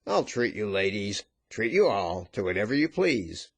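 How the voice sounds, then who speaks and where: A man's voice: on the low side, big pitch swings, normal speed. A man voicing a cowed naval lieutenant in a storybook, pleading, reluctant and resentful. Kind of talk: dramatized storybook reading.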